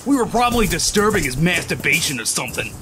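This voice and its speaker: Whiny young goth snob, played by a man, high animated voice, sneering and self-important, music behind. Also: fast talker. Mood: complaining. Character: snide, whiny young male goth hipster. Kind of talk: comic-book dub.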